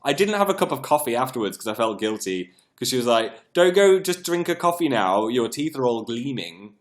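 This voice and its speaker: A British man hosting a casual livestream, chatting in a rambling, self-mocking way with a slightly croaky, froggy voice as it recovers from being lost. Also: medium pitch, big pitch swings, fairly quick. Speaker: live stream host.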